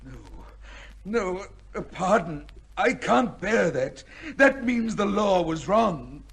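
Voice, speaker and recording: Anguished, high-voiced condemned gentleman. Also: animated, creaky, husky, full character voice. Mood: horrified, anguished. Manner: halting, impassioned, formal theatrical delivery. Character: condemned titled gentleman, anguished, broken, rigidly devoted to law. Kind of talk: old-time radio drama acting.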